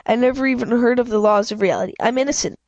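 A woman's voice: on the high side, fast talker, darkish tone, dry recording, dull audio, close to an everyday voice. Woman reading as scared, stammering teenage boy. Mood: defiant. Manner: stammering, protesting. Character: scared, defiant skinny fourteen-year-old boy.

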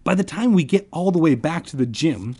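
Give me a man playing an insistent, flustered storybook schoolboy, stubborn, with a very lively, higher voice. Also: brisk, slightly rough. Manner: sputtering, insistent. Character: Tommy, stubborn flustered new schoolboy, excitable and defensive.

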